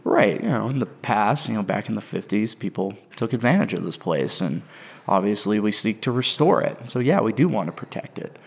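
A man's voice: lowish voice, big pitch swings, fairly quick, vocal fry, slightly rough. A man with an American accent giving casual answers as an interview guest. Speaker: lodge master interviewed as a guest.

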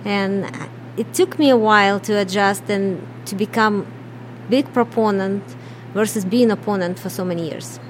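A woman's voice: mid-range voice, normal speed, a little hiss or hum, rough recording. A middle-aged woman from Ukraine with a Russian accent, answering interview questions in a plain, matter-of-fact way. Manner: plain, matter-of-fact, non-native grammar. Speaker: business co-owner.

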